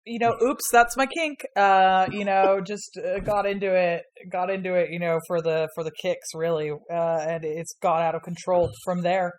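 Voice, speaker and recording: A woman's voice: fairly low pitch, fairly even intonation, normal speed, few pauses. Rambling, laughing woman podcast guest. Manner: rambling and laughing, full of "like" and "you know", swears casually. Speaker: guest, university history lecturer. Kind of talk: casual podcast chat.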